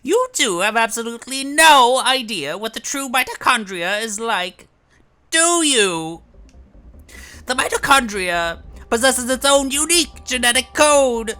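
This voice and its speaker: Woman as a high-voiced, condescending, obsessive scientist. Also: expressive, pushed and bright. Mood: smug fascination. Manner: deliberate, theatrical, pompous. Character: obsessive, condescending, sinister scientist professor lecturing. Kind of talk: fan dub voice acting of a video game scene.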